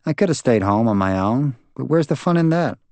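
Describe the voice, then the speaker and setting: A man's voice: medium pitch, expressive, brisk, a little mellow, no echo, pitched above the performer's own voice, natural voice. A man voicing a blunt, resentful older woman in an audiobook, scornful and sullen. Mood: sullen, defiant. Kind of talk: dramatised audiobook dialogue.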